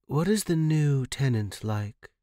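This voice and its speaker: A man's voice: mid-range voice, expressive, slow pace, dark tone, no echo, clean studio sound, voice pushed up. Guy doing a creepy bossy villainess from a horror story, haughty, likes giving orders. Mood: demanding, dissatisfied. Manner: commanding, haughty. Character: imperious sinister woman in purple, savoring her prey.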